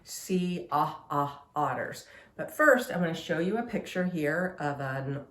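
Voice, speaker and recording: A woman's voice: deep voice, lively intonation, unhurried, a bit bright. A woman leading an online story time for young children as a librarian, giving simple instructions and asking them questions. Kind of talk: children's online lesson with songs.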